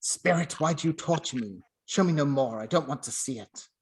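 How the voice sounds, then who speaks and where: A man's voice: fairly high pitch, expressive, moderate pace, lightly acted. A man playing a stubborn, haunted old miser in a radio play, theatrical and exclaiming. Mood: anguished. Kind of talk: amateur radio play acting.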